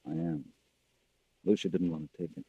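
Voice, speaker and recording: An actor playing a scheming, impatient husband in an old-time radio thriller, coaxing and brusque. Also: male voice, deep voice, fairly even intonation, moderate pace, warm tone, muffled recording, a little hiss or hum, natural voice. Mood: furtive, tense. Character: scheming, impatient husband secretly poisoning his wife.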